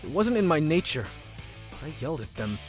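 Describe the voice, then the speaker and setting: Man as nerdy teenage boy, shy, earnest, swinging pitch, anime dub narration, music behind. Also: very animated, fairly quick. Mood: glum, reflective. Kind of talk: manga dub narration and dialogue.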